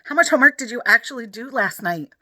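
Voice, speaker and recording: A woman's voice: fairly high pitch, animated, quick pace, bright, forceful tone, dry recording, pitched above the performer's own voice. A woman voicing a cool, slangy schoolboy friend in a children's story, giving emphatic, no-nonsense warnings. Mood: worried.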